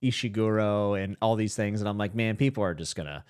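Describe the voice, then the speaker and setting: Chill guy hosting a book podcast, lowish voice, rambly, laughs at himself a lot. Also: a little fast, a little mellow, smooth. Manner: rambling, self-deprecating, laughs often. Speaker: podcast host. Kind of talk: casual livestream chat.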